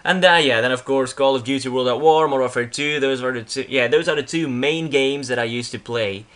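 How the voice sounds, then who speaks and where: A man's voice: medium pitch, fairly quick, a bit bright. A young man from Belgium with a Flemish accent, a Dutch speaker talking in English, rambles casually through a slideshow about himself, full of restarts and fillers. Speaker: YouTuber. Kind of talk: casual vlog narrating a slideshow.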